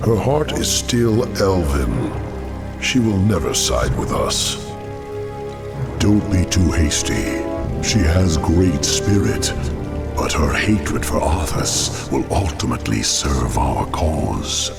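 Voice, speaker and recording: A man's voice: extremely low pitch, sing-song, slow, gravelly, loud music behind the voice, exaggerated voice. An actor playing a haughty, scheming demon lord in a fantasy game, grandiose and sinister in his delivery.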